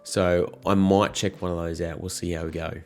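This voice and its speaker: Man, croaky with a cold, very deep, relaxed frank chat. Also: moderate pace, soft background music. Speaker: motorcycle vlogger. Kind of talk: casual talk to camera.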